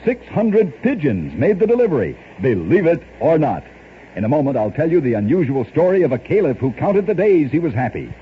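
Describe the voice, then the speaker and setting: A man reading strange-fact stories in a brisk scripted radio announcer style, from an old radio show recording. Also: fairly high pitch, very animated, phone-quality sound, slight background noise. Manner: brisk announcer delivery of odd facts.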